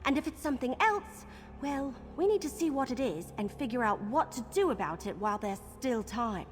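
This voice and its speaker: A bold woman adventurer in a fantasy game, voiced by an actress. She is brisk, informal and suspicious, with a highish, very expressive voice. Long reverb surrounds her, with music behind. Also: natural voice. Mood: wary, determined. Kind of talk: scripted video game dialogue.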